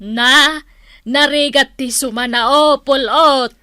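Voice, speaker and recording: An actress playing a nagging, sharp-tongued village woman with a Filipino accent in a comedic radio drama. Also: sits high, steady tone, slow, no echo, clean studio sound, exaggerated voice.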